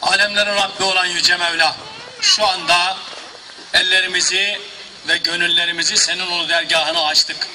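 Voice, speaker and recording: A man leading a solemn, slow prayer outdoors at an opening, into a handheld mic. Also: high-pitched, flat delivery, pushed and bright, slight background noise, rough recording. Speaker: prayer leader. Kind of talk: recited prayer.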